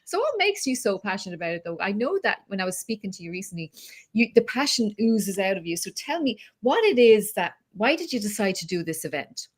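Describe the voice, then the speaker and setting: A woman's voice: mid-range voice, very animated, a little fast. A woman hosting a live-streamed video call interview, chatty and complimentary, greeting viewers with lots of filler words. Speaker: interviewer, host.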